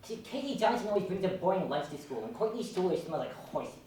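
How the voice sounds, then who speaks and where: An actress plays an eager, blunt little schoolkid in a stage comedy. The talk is quick and lively, heard from far off in a very echoey, noisy hall. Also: female voice, fairly low pitch. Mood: eager, childlike. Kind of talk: amateur one-act comedy stage acting.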